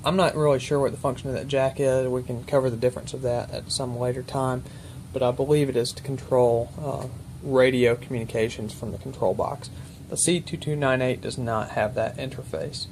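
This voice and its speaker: A man with a mid-pitched voice talks through technical equipment as a presenter, off the cuff and step by step. His delivery is matter-of-fact and exact about detail. There is noticeable background noise in the recording. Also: steady tone, fairly quick, a little mellow. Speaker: presenter demonstrating military intercom equipment. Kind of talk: unscripted technical walkthrough.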